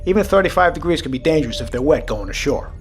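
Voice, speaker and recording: A seasoned submarine captain in an audio drama, over clear background music. His voice sits a little high. He is calm, commanding and quietly resolute. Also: fast talker. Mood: measured, resolute. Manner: commanding, steady, reassuring.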